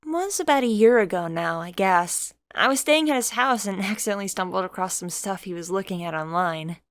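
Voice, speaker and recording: A woman's voice: medium pitch, fairly quick, no echo, lightly acted. A woman voicing a friendly, curious and forthright young woman with an upbeat, chatty delivery in a story. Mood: confiding.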